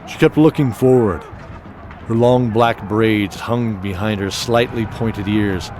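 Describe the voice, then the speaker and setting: A man's voice: on the low side, slow, noisy background. A man narrating a scripted fantasy story with dramatic, descriptive storytelling. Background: music.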